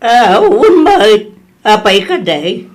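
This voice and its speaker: A woman's voice: mid-range voice, big pitch swings, takes it slowly. A woman playing an older mother in an audio drama, chiding and exclamatory, concerned and meddling, with an Ilocano accent. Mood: animated.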